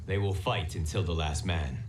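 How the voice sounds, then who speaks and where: A man's voice: deep voice, normal speed, roomy sound, far from the mic, background noise, natural voice. A man playing an earnest, worried martial-arts monk in a game, formal and urgent.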